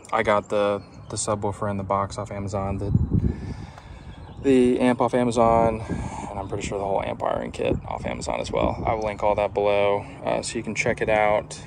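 Man, low-pitched, crackly vocal fry, informal, easygoing, casual how-to vlogger. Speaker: DIY video presenter. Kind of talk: casual hands-on walkthrough. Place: inside a parked pickup truck. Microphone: handheld phone camera. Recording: clear background noise, vehicle ambience.

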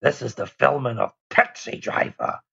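Man acting a raspy, brash comedian's ghost. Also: medium pitch, normal speed, pushed and bright, muffled recording, heavily acted. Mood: encouraging. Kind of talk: radio drama acting.

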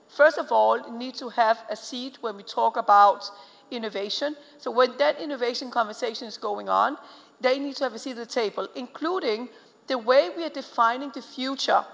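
Gravelly Danish-accented woman on a panel. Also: fairly high pitch, a bit bright. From Denmark. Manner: earnest, many fillers and restarts, gesturing. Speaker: panel speaker. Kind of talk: conference panel talk. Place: large conference hall stage. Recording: long hall reverb.

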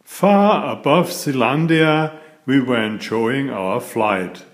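A man reading a children's picture book aloud in a deliberate, expressive storytelling manner. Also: medium pitch. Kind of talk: scripted children's storybook narration.

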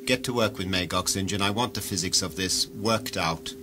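An actor playing an imperious head of a world-ruling cartel in a sci-fi audio drama, grandiose and commanding like an orator. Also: male voice, sits low, moderate pace, background noise, lightly acted. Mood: commanding, driven.

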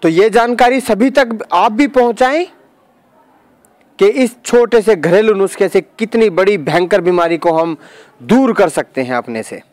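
A man with a high-pitched voice presents a practical how-to in voice-over. He is emphatic and instructional, repeating phrases to drive them home. The sound is dry and close, with very little room in it. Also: a little slow, darkish tone, no room sound. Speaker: presenter of home remedy tutorial.

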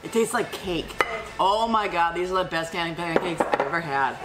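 A woman's voice: lowish voice, expressive, moderate pace, low-quality recording. A woman in her forties chatting casually over a meal in a diner booth. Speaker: food challenge competitor and vlogger. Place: small diner booth, indoors. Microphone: camera across the table. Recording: diner with music and dish clatter.

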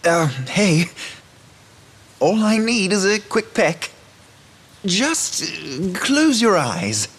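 Sly, slow-spoken enchanted frog. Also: male voice, high-pitched, sing-song, a bit bright, heavily acted. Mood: teasing, coaxing. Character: cursed talking frog, once human, sly and suave. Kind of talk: scripted video game voice acting. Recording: roomy hall reverb, music underneath.

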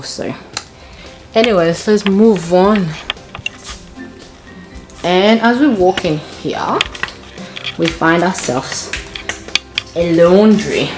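A woman giving a casual home tour on a handheld phone, chatty and gushing, repeating words for emphasis. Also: fairly low pitch. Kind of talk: casual house tour chat. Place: indoors, bright tiled home rooms. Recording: echoey home rooms with music behind. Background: background music.

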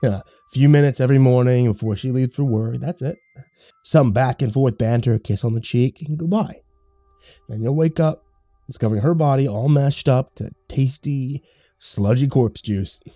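A sly, gloating deal maker, as a man puts him on drawls his taunts in a mellow, dark tone. The voice sits close and dry, with faint music underneath. He sounds amused and in no hurry. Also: medium pitch, moderate pace, dry recording, close to an everyday voice. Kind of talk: horror story reading.

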